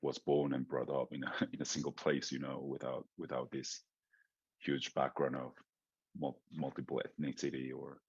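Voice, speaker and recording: A very deep, husky-voiced man from Argentina leads a panel discussion, posing questions and thinking out loud. The sound is dry and close, with slightly muffled lo-fi quality. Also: level tone, normal speed, dry recording. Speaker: moderator. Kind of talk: panel conversation.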